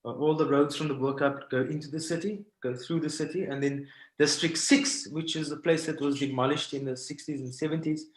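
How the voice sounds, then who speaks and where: A man with a South African accent telling stories informally on a video call, halting and pausing often. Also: on the high side, steady tone. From Cape Town, South Africa. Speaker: guest artist sharing stories. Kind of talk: informal storytelling presentation. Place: indoors at home, on a video call. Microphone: video call microphone. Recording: roomy, echoing video call audio.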